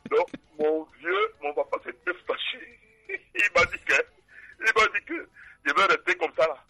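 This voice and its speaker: A man's voice: high-pitched, huge pitch swings, a little slow, vocal fry, pushed and bright, husky, no echo. A man in his forties calling into a radio show, telling a story with laughter. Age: 40s. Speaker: caller. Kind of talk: phone-in storytelling. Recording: tinny phone line.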